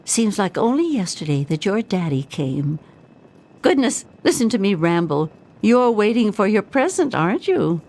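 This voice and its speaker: A woman's voice: mid-range voice, sing-song, normal speed, a little mellow. An older woman playing a rambling old vault dweller in a video game, kindly but tart, with old-fashioned exclamations. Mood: fond, rambling. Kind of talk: video game character acting.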